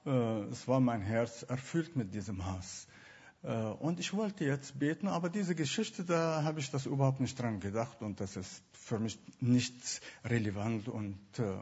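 Slow, husky Syrian man, hesitant. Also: medium pitch, warm tone, muffled recording. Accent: Syrian Arabic. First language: Arabic. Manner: hesitant, searching for words with many fillers. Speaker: guest. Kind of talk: personal testimony.

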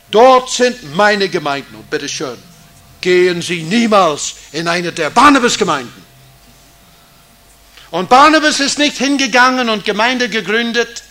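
Slow, high-pitched man teaching. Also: pushed and bright. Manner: deliberate, didactic, with rhetorical questions and emphasis. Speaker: Bible teacher. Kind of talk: Bible teaching talk to an audience.

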